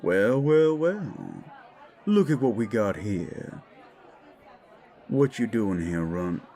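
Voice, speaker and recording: A man's voice: medium pitch, expressive, warm tone, a faint crowd. A man playing a large, condescending werewolf who talks in a dry, teasing, self-assured way in an audio drama. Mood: mocking. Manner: slow, deliberate, teasing. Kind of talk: scripted audio drama dialogue.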